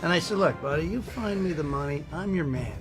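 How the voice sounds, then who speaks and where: A man's voice: a little high, lively intonation, a little fast, over music. A man chatting casually as an interview guest, a producer telling stories in a joking, wisecracking way. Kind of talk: casual chat.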